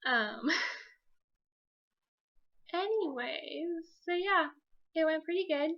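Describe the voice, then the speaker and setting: A woman's voice: extremely high pitch, expressive, slow pace, lo-fi sound. A young adult woman telling a personal story casually as a vlogger, chatty, giggly and self-deprecating.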